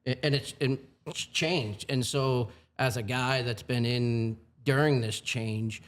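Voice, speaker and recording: A man's voice: medium pitch, takes it slowly. A man in his forties, a firefighting supervisor, talking in an earnest, plain-spoken way with many asides in a casual podcast chat. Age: 40s.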